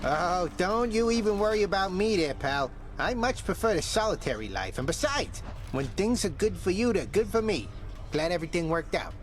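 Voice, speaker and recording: An actor playing a cheerful, loyal skeleton in a video game, with a folksy, chummy and excitable manner. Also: male voice, high-pitched, normal speed, faint music behind. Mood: reassuring. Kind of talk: video game character dialogue.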